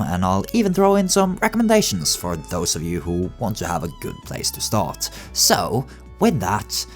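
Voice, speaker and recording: A man giving scripted comedic narration as a video essay host, in a wry, tongue-in-cheek way. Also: low voice. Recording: music behind the voice. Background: background music.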